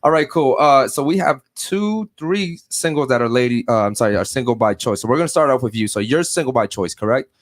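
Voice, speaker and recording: A man hosting a podcast panel in a casual, laid-back way, often saying "man" and giving shout-outs. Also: medium pitch, expressive, fairly quick, hardly any pauses, no room sound, studio quality. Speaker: podcast host.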